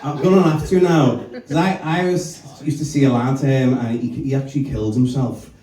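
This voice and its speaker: A man's voice: mid-range voice, steady tone, normal speed. Brash young man doing stand-up. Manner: brash, self-mocking, loose and chatty. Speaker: stand-up comedian. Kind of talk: stand-up set with crowd work. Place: small comedy club bar. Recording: echoey room, distant mic, loud crowd laughter.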